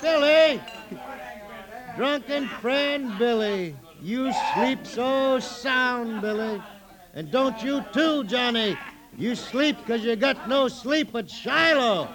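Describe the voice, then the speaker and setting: A drunken, boastful army sergeant in an old radio drama. He drawls very slowly in a strikingly high voice, loud and maudlin, with a crowd behind him. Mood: drunken, boisterous.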